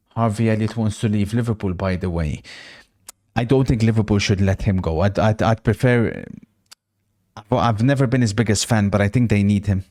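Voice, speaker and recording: A man with a fairly low voice hosts a live football watch-along. He chats casually, reacts to the play and answers viewer messages. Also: moderate pace, a little mellow. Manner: chatty, reacts to the match and reads out viewer messages. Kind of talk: casual live match commentary and chat.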